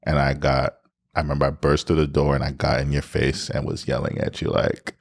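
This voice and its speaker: A man with a deep voice co-hosting a podcast, candidly retelling a story and acting out his own past lines. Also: flat delivery, fairly quick, creaky, breathy edge. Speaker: podcast co-host. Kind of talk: candid storytelling in casual chat.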